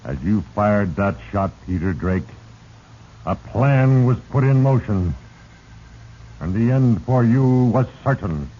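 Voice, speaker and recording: Slow, deep, ominous Fate. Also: male voice, lively intonation. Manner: grave, deliberate, ominous. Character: Fate, sinister solemn male spirit narrator manipulating mortals. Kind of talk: 1940s radio drama narration. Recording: dull, noisy 1940s radio recording.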